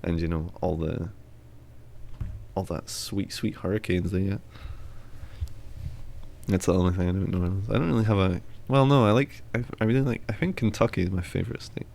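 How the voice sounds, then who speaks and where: A man's voice: deep voice, a little fast, gravelly, pauses often, a little mellow, slight background noise. A man co-hosting a casual podcast chat, teasing and swearing in a dry way. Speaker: podcast co-host.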